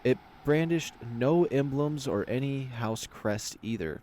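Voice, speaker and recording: A man's voice: mid-range voice, lively intonation, takes it slowly, a little mellow, a little hiss or hum. A man narrating a visual novel aloud as a let's player, switching between story reading and casual commentary. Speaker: video game let's player.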